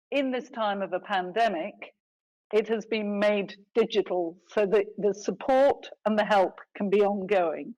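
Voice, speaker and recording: A woman giving a prepared talk in deliberate, emphatic short phrases. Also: medium pitch, a little mellow, rough recording.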